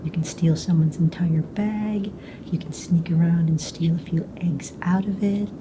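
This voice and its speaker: Casting: a woman as a friendly, unhurried fantasy hunt hostess for ASMR, whispering softly in a slightly low register over clear background noise. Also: fairly even intonation, close to an everyday voice. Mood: calm, instructive. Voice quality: soft, breathy whisper. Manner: casual, hesitant, explanatory, matter-of-fact about rules and danger. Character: calm, friendly mistress of ceremonies of a fantasy dragon egg hunt. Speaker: ASMR roleplayer. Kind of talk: soft-spoken whispered ASMR roleplay. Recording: noisy close recording with low rumble.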